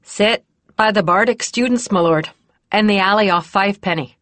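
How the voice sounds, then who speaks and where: A woman's voice: mid-range voice, normal speed, a bit bright, dry recording, voice pushed up, close to an everyday voice. Dutiful, plain-spoken middle-aged male officer read by a woman, rustic and deferential, storybook, dry close sound. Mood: reporting.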